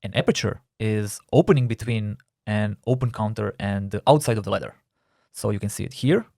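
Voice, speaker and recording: A man's voice: fairly low pitch, a little fast, gravelly, dark tone, no room sound, clean studio sound. A man with a Croatian accent, a non-native English speaker, explaining typography terms in a careful recorded course narration. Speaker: typography instructor.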